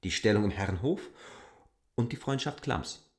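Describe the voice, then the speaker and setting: A male land surveyor in a literary radio drama. He is earnest and stubborn, with a fairly low voice and measured, formal insistence. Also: lively intonation.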